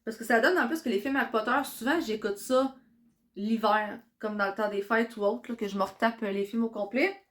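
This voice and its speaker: A woman's voice: a little high, a little fast. Chatty Quebec woman with a stuffy cold. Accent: Quebec French. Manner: chatty and excitable, many fillers. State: congested with a cold. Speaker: vlogger. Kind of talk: casual vlog chat.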